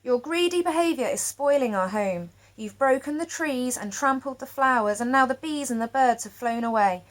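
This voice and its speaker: A woman's voice: high voice, moderate pace, few pauses, natural voice. A woman voicing a brave young storybook girl who pleads earnestly and emphatically. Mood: earnest pleading.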